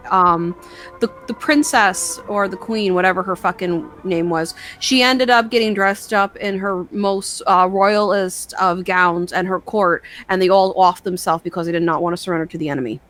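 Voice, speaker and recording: Female co-host, bright forceful voice, mid pitch, chatting informally and inquisitively over faint music. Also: fairly even intonation, normal speed. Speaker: co-host reacting to videos. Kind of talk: casual reaction chat.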